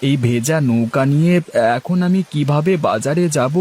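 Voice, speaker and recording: A man's voice: on the high side, moderate pace, a little mellow, slightly rough. A man voicing a harried, good-natured village husband in a cartoon, chatty and pleading. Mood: fretful musing. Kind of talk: Bengali cartoon dialogue.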